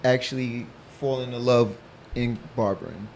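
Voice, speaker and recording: A man's voice: mid-range voice, normal speed, creaky, a little mellow. American man hosting, faint background noise. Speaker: show host. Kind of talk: casual interview chat.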